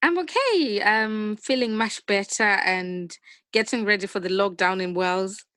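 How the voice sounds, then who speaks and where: A woman's voice: medium pitch, measured pace, fairly bright tone, no echo, clean studio sound. A young woman with a Zimbabwean accent, answering questions as a guest in a conversational interview over a video call. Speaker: interview guest, finance analyst.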